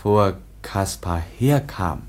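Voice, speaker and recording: A man's voice: deep voice, lively intonation, a little mellow. A man playing a bewildered, trusting young foundling in a radio drama, speaking in broken childlike phrases. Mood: innocent, wondering. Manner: halting broken childlike phrases in third person. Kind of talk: German radio drama acting.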